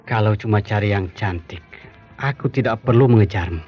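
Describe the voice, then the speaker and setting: A persistent doctor suitor in a radio drama, earnest and probing in a low voice. The recording is muffled, with music underneath. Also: male voice, moderate pace, husky. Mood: devoted. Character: earnest, persistent male doctor courting a colleague. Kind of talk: Indonesian radio drama acting.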